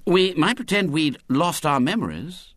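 A man's voice: a little high, lively intonation, moderate pace, a bit bright, dry recording, studio quality, pitched above the performer's own voice. A man voicing an earnest, imaginative schoolboy who speaks thoughtfully.

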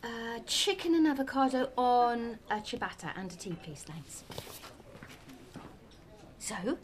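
An actress playing a sceptical, quietly sad woman friend in a naturalistic radio drama, dry and teasing. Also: a little high, sing-song, slow. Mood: gentle, curious. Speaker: actor.

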